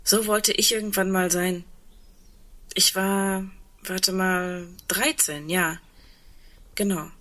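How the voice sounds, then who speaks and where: A woman playing a wistful double bass player in a naturalistic radio play, speaking in a reflective, halting and intimate way with a husky voice. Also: fairly even intonation, fairly bright tone. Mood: wistful, reminiscing.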